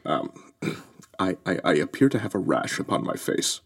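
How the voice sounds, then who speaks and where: Pompous knight with theatrical swings. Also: male voice, deep voice, expressive, normal speed, vocal fry, exaggerated voice. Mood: embarrassed, sheepish. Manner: grandiose mock-archaic speech. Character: vain, pompous male knight, easily embarrassed and indignant. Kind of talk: comedic audio drama acting.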